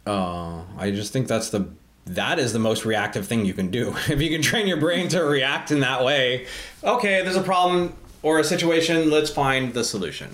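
A man co-hosting a casual podcast, speaking earnestly and thoughtfully with frequent filler pauses. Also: medium pitch, fairly bright tone. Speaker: podcast co-host.

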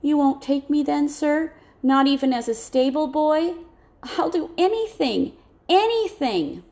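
Woman voicing a pleading teenage farm boy, raised pitch. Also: animated, a little slow, mellow. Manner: earnest, pleading.

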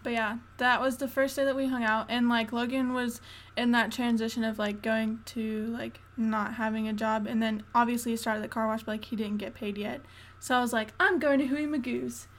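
A woman's voice: on the high side, flat delivery, normal speed. A young woman from Florida co-hosting a podcast, telling a story in a giggly, easygoing way. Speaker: podcast co-host. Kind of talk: casual chat.

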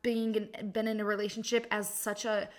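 A woman's voice: mid-range voice, level tone, moderate pace. A woman in her twenties hosting her own podcast, chatting casually and self-mockingly with frequent laughs and fillers. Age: 20s. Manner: chatty, self-mocking, laughs often, many likes and ums. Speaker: podcast host. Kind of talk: casual solo podcast chat.

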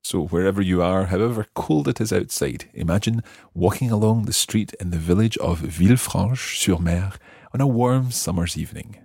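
A man hosts a language lesson in a conversational, explanatory way. His voice is fairly low and husky, with creaky edges and lots of melodic movement. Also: normal speed, darkish tone, dry recording, clean studio sound. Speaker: language lesson podcast host.

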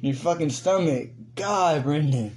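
A man co-hosting a casual podcast, joking, laughing and swearing a lot while high. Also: expressive, moderate pace, darkish tone, slight background noise. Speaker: podcast co-host. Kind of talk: casual crude chat.

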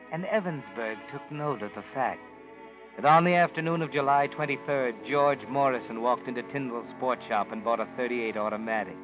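An actor voicing a cold, all-knowing narrator who coolly mocks the killer in measured words. His mid-pitched voice comes through thin and tinny, like an old radio broadcast, with music clearly playing underneath. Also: natural voice. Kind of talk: 1940s radio drama narration.

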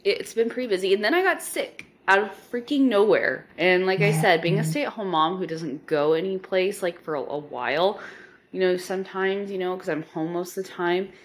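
A woman in her thirties from the Midwest, hoarse and stuffed up from a cold, giving a rambling casual update to her podcast listeners with lots of ums. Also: lowish voice, normal speed, slight background noise. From Nebraska, US Midwest. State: hoarse, congested. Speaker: podcast host.

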